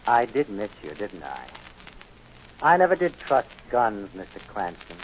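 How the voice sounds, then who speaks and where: A man's voice: fairly low pitch, big pitch swings, measured pace. An actor playing a sinister, sly knife-wielding henchman in a 1940s radio drama. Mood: menacing. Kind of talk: 1940s American radio acting. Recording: dull, hissy 1940s radio recording.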